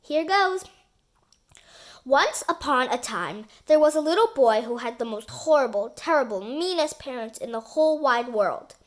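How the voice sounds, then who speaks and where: High-pitched woman reading a children's story. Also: expressive, moderate pace, hardly any pauses. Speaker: storybook reader. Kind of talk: expressive children's audiobook narration.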